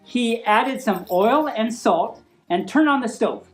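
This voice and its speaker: A woman's voice: on the high side, animated, takes it slowly, soft background music, low-quality recording. A woman reading a picture book aloud informally, playful and rambling, with easily sidetracked asides.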